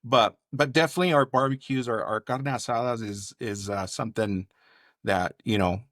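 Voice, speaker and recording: A man's voice: medium pitch, moderate pace, a bit bright, no room sound, clean studio sound. A man from California who works as a chef, talking casually and in detail as a podcast guest, reminiscing in a conversational way. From Bakersfield, California. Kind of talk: casual interview storytelling.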